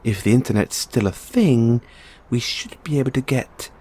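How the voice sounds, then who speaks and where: A man playing an eccentric, geeky mad scientist boyfriend in an audio roleplay, speaking in a husky, theatrical way. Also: mid-range voice, normal speed, warm tone, close to an everyday voice. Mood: eager. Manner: theatrical, geeky, hesitant musing between outbursts. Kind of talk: audio roleplay acting, addressed to listener.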